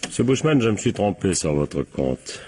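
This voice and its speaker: An actor playing a sardonic police captain in a French radio crime drama, husky and colloquial, prodding with short tag questions. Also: male voice, low voice, very animated, normal speed, darkish tone, a little hiss or hum. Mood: sardonic, probing. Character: male police captain, insistent, sardonic interrogator.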